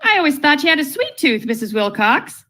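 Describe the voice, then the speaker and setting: A woman's voice: sits high, very animated, fairly quick, sharp tone, exaggerated voice. A woman playing a sensible, dryly witty housewife in an old-time radio comedy, polite and arch.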